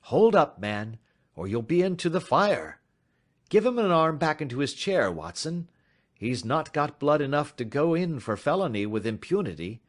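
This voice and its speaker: A man voicing a sharp, self-assured gentleman detective in an audiobook, speaking in a crisp, measured, gently ironic way. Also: a little high, big pitch swings, pitched above the performer's own voice. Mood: confident. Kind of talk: audiobook reading.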